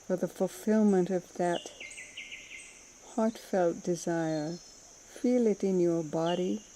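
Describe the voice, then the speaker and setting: Slow, very mellow woman guiding meditation. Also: on the low side, slight background noise. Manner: soothing, unhurried, gentle pauses between phrases. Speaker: meditation teacher. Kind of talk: guided mindfulness meditation.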